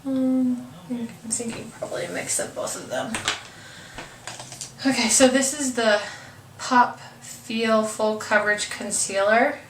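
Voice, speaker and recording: A woman's voice: a little high, fairly even intonation, slow. A young woman with a stuffy, congested voice, chatting hesitantly through a makeup tutorial with lots of ums and apologies. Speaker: beauty vlogger. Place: room at home. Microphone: camera mic from a distance. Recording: roomy, distant camera audio with faint hiss.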